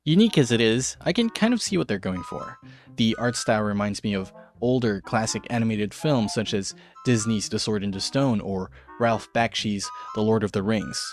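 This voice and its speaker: A man's voice: on the low side, normal speed. Casual male tutorial host with music behind. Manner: casual, playful, tongue-in-cheek asides. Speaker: video show host, art tutorial presenter. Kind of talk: semi-scripted art tutorial narration with casual asides.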